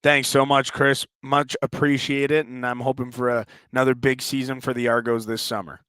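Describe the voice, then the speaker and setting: A man's voice: mid-range voice, flat delivery, moderate pace, fairly bright tone, a little hiss or hum. A man, a sports announcer talking as an interview guest. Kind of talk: interview talk.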